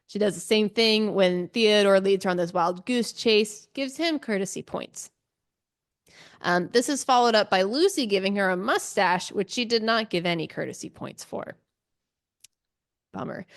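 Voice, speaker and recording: A woman podcast host with a mid-range voice talks through a story in a casual book club style. She is chatty and informal, dropping asides as she goes, with a giggly streak in her delivery. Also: medium pitch.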